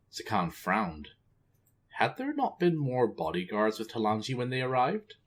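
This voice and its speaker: A man giving a casual, expressive storytime reading of a novel. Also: lowish voice, slightly rough. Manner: expressive, varied delivery. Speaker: reader, channel host.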